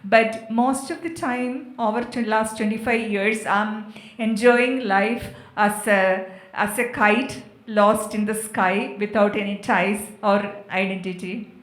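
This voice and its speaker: Slow-talking woman, echoey venue. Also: mid-range voice, fairly even intonation. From Kerala, India. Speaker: panel speaker. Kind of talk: reflective panel talk. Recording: echoey, amplified festival venue.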